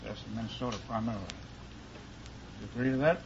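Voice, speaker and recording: A man's voice: mid-range voice, fast, noisy background. A man answering as a quiz show contestant in polite, brief, decisive replies. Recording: muffled old broadcast before an audience.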